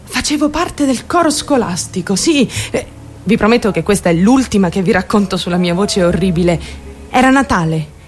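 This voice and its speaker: A woman's voice: mid-range voice, lively intonation, normal speed, close to an everyday voice. A woman acting a theatrical radio monologue as a troubled young woman, defensive and candid, rambling and addressing her listeners directly. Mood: confiding, agitated. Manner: confiding, addresses listeners directly with rhetorical questions. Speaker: actor.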